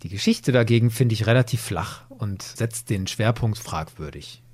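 A man's voice: fairly low pitch, moderate pace, a little mellow, slightly rough, dry recording. Analytical man podcasting, dry close sound. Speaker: podcast host. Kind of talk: conversational review discussion.

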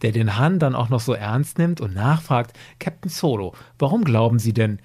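A man with an average-pitched voice chats as a guest on a podcast. He is opinionated and expressive, arguing his points in a casual, conversational way. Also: normal speed, breathy edge. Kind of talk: casual discussion.

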